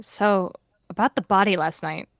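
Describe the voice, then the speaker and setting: A woman voicing a suspicious young tomcat apprentice in a voice roleplay, prying and quick to ask questions. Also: mid-range voice, steady tone, lightly acted.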